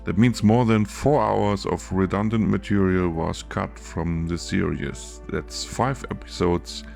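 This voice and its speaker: A man with a German accent, a film editor reading a scripted video essay in a careful, measured way. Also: sits low, vocal fry, darkish tone.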